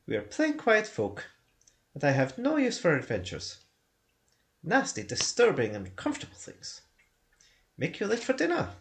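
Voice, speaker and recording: A man's voice: on the high side, huge pitch swings, moderate pace, long pauses, a little hiss or hum, pitched above the performer's own voice. A man voicing a fussy, respectable male hobbit who is polite but prickly. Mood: prim.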